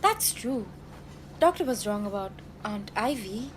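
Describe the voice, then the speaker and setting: A woman's voice: a little high, expressive, unhurried, slight background noise. A teenage girl playing a sentimental, gossipy working-class factory girl in a radio drama, folksy and dramatic. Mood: hushed, earnest. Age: teenage high school student.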